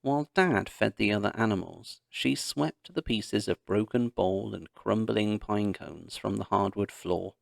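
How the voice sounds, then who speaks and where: Male children's audiobook narrator, low and slow, expressive and dramatic. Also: vocal fry, dry recording, clean studio sound. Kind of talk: expressive children's story read-aloud.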